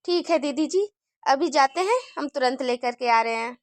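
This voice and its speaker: Woman playing a sweet helpful younger daughter-in-law, pregnant, high dry voice, homemade skit. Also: lively intonation, moderate pace, dry recording. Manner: polite, helpful and soothing. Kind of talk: homemade family drama skit.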